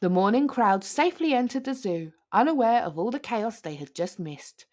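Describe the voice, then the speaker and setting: A woman reads a picture book aloud for children. Her pitch moves widely and expressively as she narrates. She is theatrical, drawing out important words for dramatic emphasis. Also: animated, normal speed, a little mellow. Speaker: children's storyteller.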